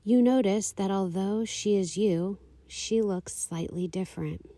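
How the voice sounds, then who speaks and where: A woman leading a guided meditation, giving calm, soothing, unhurried instructions. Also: medium pitch, no echo. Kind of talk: guided meditation, slow scripted narration.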